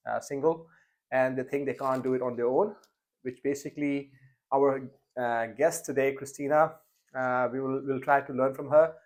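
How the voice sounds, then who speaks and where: A young man hosting a casual interview podcast, talking with frequent fillers and restarts. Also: medium pitch. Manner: hesitant, many fillers and restarts. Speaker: podcast host.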